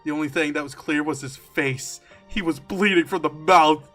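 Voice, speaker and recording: A man reading a horror story aloud on a stream, expressive and emphatic, slipping between dramatic narration and casual asides. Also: fairly high pitch, normal speed. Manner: expressive, emphatic, swearing, breaking into amused asides. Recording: music under the voice. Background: background music.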